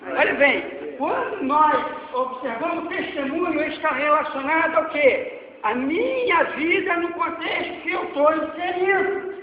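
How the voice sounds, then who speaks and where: Forties male preacher, Brazilian accent, fervent then hushed, recorded roomy and far with faint hiss. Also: very high voice, measured pace. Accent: Brazilian Portuguese. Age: 40s. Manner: swings between loud exhortation and quiet asides. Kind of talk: sermon with slides. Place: church hall. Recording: echoey hall, dull lo-fi sound, faint noise.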